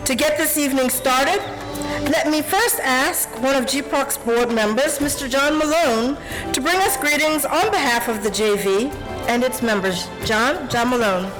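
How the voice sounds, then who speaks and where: A woman's voice: sits high, normal speed, music in the background, poor audio. A woman acting as master of ceremonies at a formal event, reading out guests' names and titles in a formal manner. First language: Portuguese. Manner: formal, reads introductions and titles.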